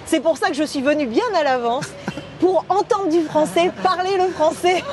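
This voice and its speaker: High-voiced woman dentist, hesitant. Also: normal speed, darkish tone, faint music behind. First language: French. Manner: hesitant, searching for French words. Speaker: dentist guest. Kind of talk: interview on a talk show. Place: outdoors at a café terrace.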